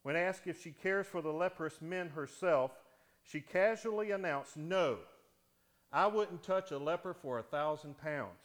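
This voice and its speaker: A man, a deacon, preaching a sermon in a church with a big voice that swings from loud to hushed, speaking into a microphone he wears. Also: a little high, unhurried. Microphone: wearing a microphone.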